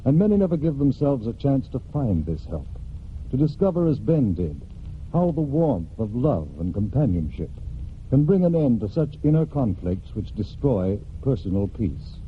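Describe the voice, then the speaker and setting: A man narrates a religious message in old-time radio style. He is earnest and measured, with widely moving, middle-pitched intonation. The recording is thin and faintly hissy. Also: big pitch swings, phone-quality sound. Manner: earnest, measured oratory with pauses. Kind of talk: scripted 1940s radio church message.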